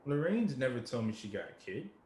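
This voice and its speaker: A man's voice: mid-range voice, big pitch swings, a little fast, a little mellow, close to an everyday voice. An actor playing a naive, anxious, inquisitive homeless man in a stage play reading. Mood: puzzled. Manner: credulous, inquisitive. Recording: echoey room with background noise.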